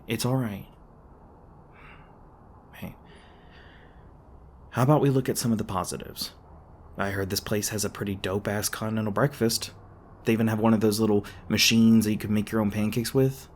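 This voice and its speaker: Man acting a low-voiced, gruff but caring hunter. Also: a little hiss or hum, close to an everyday voice. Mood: gentle, reassuring, then casual, caring. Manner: hesitant, casual, sweary, awkwardly softening. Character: gruff, defensive young male monster hunter, secretly caring. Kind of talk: intimate ASMR roleplay acting.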